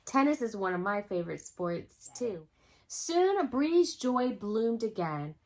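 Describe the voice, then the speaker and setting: A woman's voice: a little high, sing-song, slow pace. A woman hosting a children's storytime, reading a picture book aloud expressively and speaking directly to young listeners. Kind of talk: storytime read-aloud with asides to young viewers.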